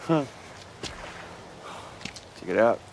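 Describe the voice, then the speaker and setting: A man's voice: drawn-out words, background noise. An actor with an American accent playing a cocky, rebellious young man with superpowers, flippant and brash, in a video game. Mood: cocky, delighted. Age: young adult. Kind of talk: video game cutscene acting.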